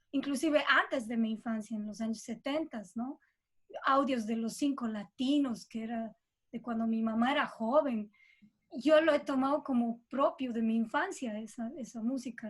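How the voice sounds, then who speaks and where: A Bolivian-accented woman speaks in a fairly high voice. She talks in an informal, reflective interview style, as a guest explaining her own work. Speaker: composer being interviewed.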